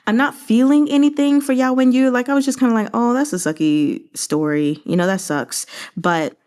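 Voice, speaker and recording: A woman hosting a read-along, giving casual, conversational commentary on a novel with animated, emphatic asides. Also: medium pitch. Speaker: host of a book read-along channel.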